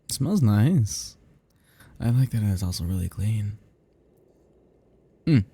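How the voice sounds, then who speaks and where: A man playing an ancient, flirtatious, possessive vampire in an intimate ASMR roleplay, speaking to the listener softly and huskily in a halting, teasing, casually menacing way. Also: fairly low pitch, lively intonation, exaggerated voice. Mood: friendly, teasing. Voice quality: soft, husky, gravelly.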